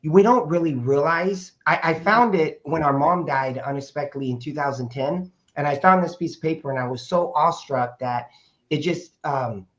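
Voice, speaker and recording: A man in his fifties from Portland, Oregon, a raspy-voiced guest, hoarse from a weekend of speaking and telling his story in a rambling, casual way full of fillers and restarts. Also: on the high side, moderate pace. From Portland, Oregon, USA. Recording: dull lo-fi audio.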